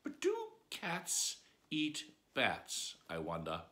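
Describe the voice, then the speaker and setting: A man's voice: medium pitch, huge pitch swings, slow, bright, forceful tone, pitched below the performer's own voice, exaggerated voice. A man voicing a curious little girl who chatters and wonders aloud in a storybook reading. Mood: chattering.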